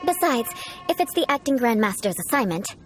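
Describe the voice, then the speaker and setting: Haughty young noblewoman, higher-pitched, lilting. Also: very animated, fairly quick, over music. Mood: haughty, intrigued. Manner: formal, prim, lofty diction. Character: haughty young aristocratic woman obsessed with polite vengeance. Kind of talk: video game character dialogue.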